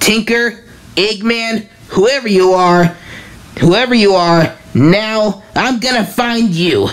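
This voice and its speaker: Woman slowly reading a comic aloud. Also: medium pitch. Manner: slow, halting reading with restarts. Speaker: fan comic dub reader.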